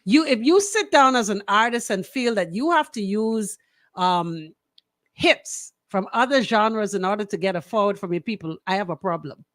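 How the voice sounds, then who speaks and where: A woman's voice: mid-range voice, animated, normal speed, fairly bright tone, dry recording, studio quality. A woman with a Bahamian accent hosting a radio discussion, arguing her opinions emphatically and repeating phrases for weight. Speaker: radio talk show host.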